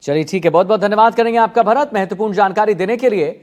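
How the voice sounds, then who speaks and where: A man's voice: high voice, fast, a little mellow. A man anchoring live TV news in an emphatic, repetitive way.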